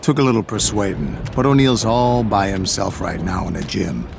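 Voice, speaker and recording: Casting: a scheming jailbird, lowish gravelly voice, lively swings. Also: male voice, normal speed, background noise. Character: battered male prison inmate, scheming and eager. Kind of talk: video game cutscene acting.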